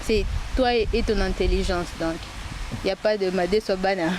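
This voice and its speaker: Young congolese woman student answering slowly, noisy windy street sound. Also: medium pitch, steady tone. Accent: Congolese French. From Congo (Kinshasa). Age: young adult. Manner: hesitant, many fillers. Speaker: student interviewee. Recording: noisy outdoor recording with wind.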